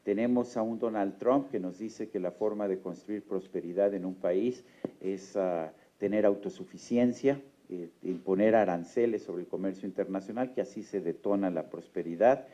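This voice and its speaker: A man's voice: sits low, moderate pace, dark tone. A man moderating a public presentation, speaking in a rhetorical way with many fillers.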